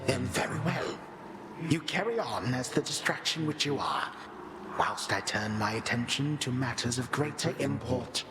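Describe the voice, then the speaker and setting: A man's voice: medium pitch, animated, moderate pace, noisy background, close to an everyday voice. An actor playing a coldly disdainful ancient titan in a video game, grandiose and formal in his diction. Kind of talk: video game character acting.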